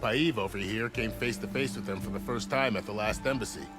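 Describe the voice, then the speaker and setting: A man's voice: on the low side, a little fast, over loud music, close to an everyday voice. A man playing a genial, wry army officer in a video game, courteous and fond of telling a tale. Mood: amused.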